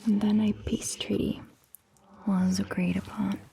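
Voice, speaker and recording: A woman playing a calm, attentive vampire doctor in a soft, breathy ASMR roleplay whisper, with measured, gentle phrasing. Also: mid-range voice, slight background noise. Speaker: ASMR performer.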